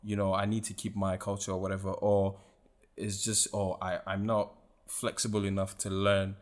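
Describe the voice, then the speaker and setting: A man's voice: deep voice, monotone, normal speed. A man co-hosting a casual podcast, hesitant and full of fillers as he works out his questions. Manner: hesitant, many fillers, backchannels a lot. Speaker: podcast co-host. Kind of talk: casual chat.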